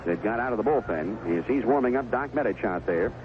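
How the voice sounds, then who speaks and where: A man's voice: on the low side, expressive, a little fast, highs cut off, background noise. A man calling a baseball game play by play on a 1970s radio broadcast. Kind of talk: live radio baseball commentary.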